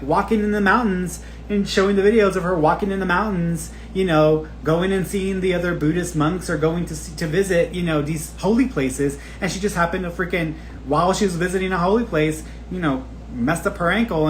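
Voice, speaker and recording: A man's voice: fairly high pitch, a little hiss or hum, rough recording. A man talking casually and candidly as a spiritual teacher on a live stream, rambling informally, cursing freely and expressively acting out quoted remarks. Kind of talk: casual, rambling personal talk to online followers.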